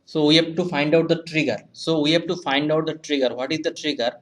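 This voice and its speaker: A young man giving a step-by-step software tutorial in halting, repetitive, simply phrased non-native English. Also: fairly high pitch, fairly even intonation. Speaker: web analytics tutorial presenter.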